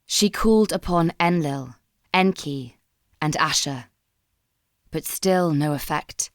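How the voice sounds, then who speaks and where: A woman narrating scripted dramatic fan fiction as a storyteller, in a measured, solemn manner. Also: sits low, no room sound, clean studio sound.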